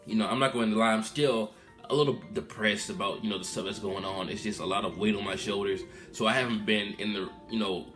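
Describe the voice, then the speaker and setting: A man in his twenties talking casually to his viewers in a candid, rambling vlog update, recorded in a room at his mother's house. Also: on the low side. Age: 20s. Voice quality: loud voice. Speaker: vlogger.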